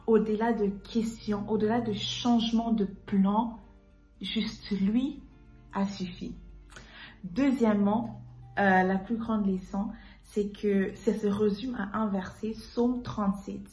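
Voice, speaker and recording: Young Congolese woman in a roomy echo. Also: medium pitch. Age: 20s. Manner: relaxed, mixes in English phrases, laughs easily. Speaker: guest. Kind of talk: casual testimony to camera.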